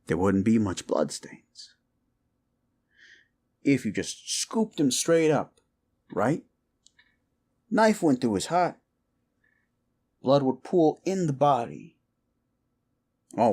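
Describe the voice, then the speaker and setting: A man's voice: mid-range voice, full character voice. A man playing a folksy, rumpled older homicide detective in a roleplay audio, rambling absent-mindedly and probing politely. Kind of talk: roleplay ASMR audio drama.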